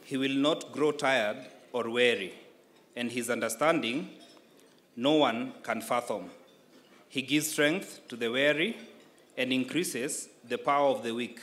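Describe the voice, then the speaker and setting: A man reading scripture aloud formally, in a deliberate and measured manner. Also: medium pitch, a bit bright. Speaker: scripture reader at a prayer breakfast.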